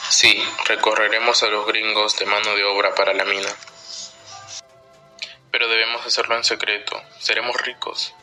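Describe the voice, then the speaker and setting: Man playing a loud, greedy schemer. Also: fairly low pitch, level tone, normal speed, soft background music, rough recording. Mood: scheming, excited. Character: scheming greedy man plotting riches in secret. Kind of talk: amateur radio drama acting.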